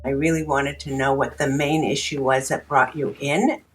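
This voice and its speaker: An actor playing a calm family therapist who asks gentle, probing questions in a measured, reflective way. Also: medium pitch, a little hiss or hum, close to an everyday voice. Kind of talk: naturalistic audio drama dialogue.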